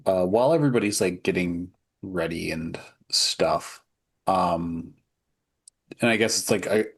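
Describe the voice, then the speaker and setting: A man's voice: low voice, measured pace, creaky, slightly rough. A man playing in a tabletop game, easygoing casual chat with fillers. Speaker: player.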